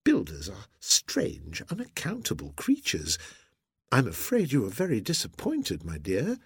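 A man voicing a gentle, affectionate sailor's wife in an audiobook, with a soft, genteel and fond manner. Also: lowish voice, huge pitch swings, a little slow, breathy edge, dry recording, clean studio sound, exaggerated voice. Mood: indignant, consoling.

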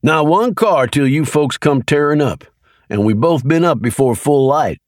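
A man's voice: fairly high pitch, expressive, moderate pace, no echo, studio quality, pitched above the performer's own voice, lightly acted. A man reading an audiobook, voicing a wisecracking man in his fifties with a folksy drawl. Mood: defiant. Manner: folksy drawling dialect, sly and joking. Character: older country man, wisecracking, easygoing, stubborn. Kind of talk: audiobook character voice.

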